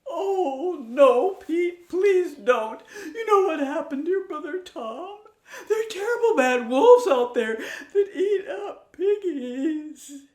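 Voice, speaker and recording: A woman voicing a fretful old mother sow who pleads in a high, quavering, tearful voice. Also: lively intonation, slow, a little mellow, echoey room.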